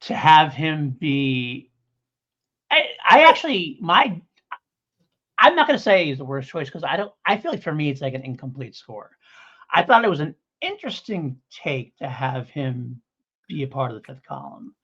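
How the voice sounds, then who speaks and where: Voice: medium pitch, very animated, moderate pace, a bit bright, husky. A podcast co-host in a casual review discussion, talking in a hedging, rambling way and thinking aloud. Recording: dull lo-fi audio.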